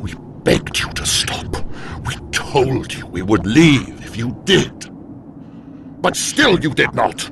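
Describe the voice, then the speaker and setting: An actor playing a wrathful, menacing demon overlord in an audio drama, with slow, theatrical, accusing delivery. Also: male voice, low-pitched, huge pitch swings, creaky, background noise, heavily acted. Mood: bitter, accusing.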